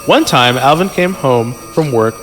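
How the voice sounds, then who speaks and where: A man's voice: mid-range voice, lively intonation, unhurried, fairly bright tone, faint music behind. A man reading a story aloud on a podcast in an informal, amused way, breaking off for chatty asides.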